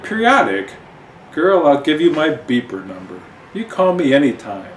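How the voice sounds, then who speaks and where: Casting: brash, sarcastic superheroine, played by a man, loud and pushed high, roomy recording. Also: very animated, moderate pace. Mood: flirty. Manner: loud, exclaiming. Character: brash, raunchy, sarcastic comic-book heroine. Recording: roomy, distant mic, faint noise.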